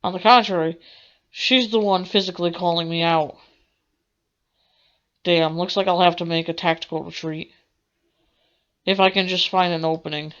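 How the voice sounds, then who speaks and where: A woman's voice: fairly low pitch, fairly even intonation, moderate pace, long pauses, fairly bright tone, smooth. Theatrical woman reading a game, dull audio. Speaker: game streamer. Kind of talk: dramatic read-aloud of visual novel narration. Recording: dull audio with faint music.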